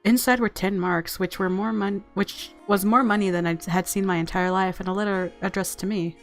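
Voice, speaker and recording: A woman's voice: mid-range voice, fairly even intonation, fairly quick, faint music behind. A woman narrating a visual novel aloud as a let's-player, mixing story reading with casual commentary.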